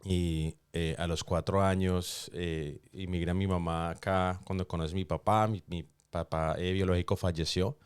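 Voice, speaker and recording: A man giving a personal testimony in conversation, speaking reflectively with halting pauses. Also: low voice, level tone, clear, resonant tone, studio quality. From Colombia, raised in New York from age four. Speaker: podcast guest. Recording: roomy echo, faint music behind.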